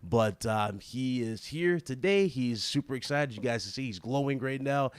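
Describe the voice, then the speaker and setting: A man's voice: mid-range voice, animated, normal speed, clean tone, no echo. A man hosting a live podcast interview, hyped and eager, often tripping over and repeating his words. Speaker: podcast host.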